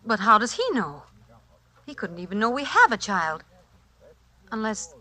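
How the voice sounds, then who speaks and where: 1940s radio wife: anxious, hesitant, eager to smooth things over, bright tense tone at medium pitch. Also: female voice, big pitch swings, measured pace, full character voice. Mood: nervous. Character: anxious, placating wife and mother. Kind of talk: 1940s radio drama acting.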